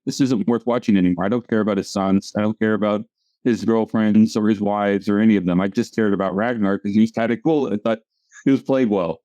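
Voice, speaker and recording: Rambling man with a dark, dull-sounding voice. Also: lowish voice, dry recording. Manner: rambling, restarts often. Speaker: comic strip creator, guest. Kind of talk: casual conversation. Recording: dull lo-fi audio.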